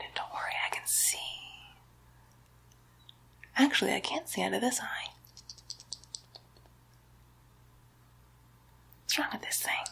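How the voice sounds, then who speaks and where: A woman voicing a playful, sly female demon doctor in an ASMR medical roleplay, whispering softly in a slow, teasing, lightly menacing way. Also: medium pitch, slight background noise. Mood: puzzled, curious. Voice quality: soft breathy whisper.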